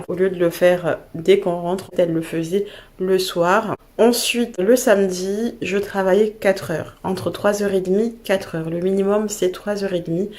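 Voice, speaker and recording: French woman with a cold, chatty. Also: fairly low pitch. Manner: relaxed, repetitive, chatty with frequent fillers. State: has a cold. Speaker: vlogger sharing study tips. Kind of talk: casual advice monologue.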